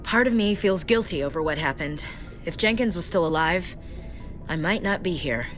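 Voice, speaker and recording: A woman acting a young female marine in a game. The voice is low, with an earnest, plain-spoken delivery. Music runs behind it. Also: expressive, moderate pace, close to an everyday voice. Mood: somber. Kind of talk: dramatic video game dialogue.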